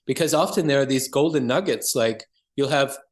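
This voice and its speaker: A man's voice: medium pitch, a little fast. A man speaking as an interview guest, explaining things conversationally and earnestly as a practitioner.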